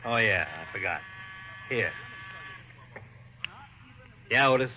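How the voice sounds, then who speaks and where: A man's voice: fairly low pitch, lively intonation, takes it slowly, bright, forceful tone, full character voice. An American man playing a harried, businesslike homicide lieutenant in an old radio drama. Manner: businesslike, clipped, worried. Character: harried, earnest male homicide police lieutenant. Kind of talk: 1940s-50s American radio acting. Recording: muffled, hissy old radio recording.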